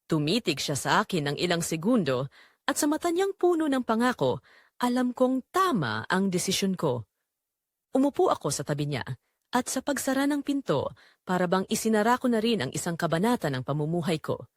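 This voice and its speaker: A woman with a Filipino accent narrates a dramatic first-person romance story in a measured, expressive way. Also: mid-range voice, no room sound, studio quality.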